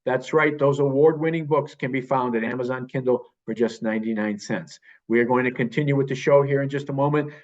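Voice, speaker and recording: A man hosts a podcast of old radio detective shows and presents the next episode. He talks in a promotional, repetitive way, with his pitch in a middling range. The sound is a little dull. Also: fairly even intonation, a little fast, hardly any pauses. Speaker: podcast host. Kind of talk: podcast introduction.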